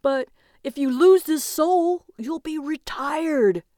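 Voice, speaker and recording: A woman's voice: medium pitch, expressive, unhurried, a little mellow, no room sound. Female narrator as big worried man, storybook sidekick, anxious, nagging, pleading, dry sound. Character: huge, nervous, nagging male ex-athlete agent of death.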